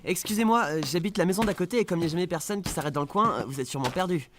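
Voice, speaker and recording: Actor as eager, fast-talking young neighbour. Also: male voice, high-pitched, background noise, close to an everyday voice. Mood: awkward, eager. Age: young adult. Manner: eager, rambling, awkward. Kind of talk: audio drama acting.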